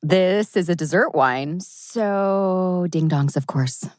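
Actress as wistful, flirtatious woman in a TV drama, very low and slow delivery. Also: darkish tone, no echo, studio quality. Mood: flirty, playful. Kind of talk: live table read of TV drama script.

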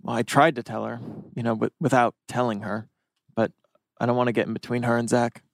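A man's voice: mid-range voice, flat delivery, a little fast, gravelly, no room sound, natural voice. A man reading the part of a neurotic, wisecracking, lovesick teenage boy in a TV drama table read. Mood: anxious, wry.

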